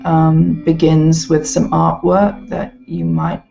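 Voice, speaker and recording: A woman's voice: deep voice, completely flat delivery, vocal fry, warm tone, faint music behind. A woman giving an informal, hesitant walkthrough as an educational presenter. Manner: hesitant, halting delivery. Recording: slightly dull, lo-fi sound.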